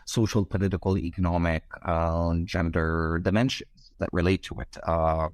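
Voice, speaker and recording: A man's voice: low-pitched, level tone, moderate pace, dry recording. A middle-aged man from Egypt, a scholar being interviewed, speaking in wordy, hesitant academic phrasing.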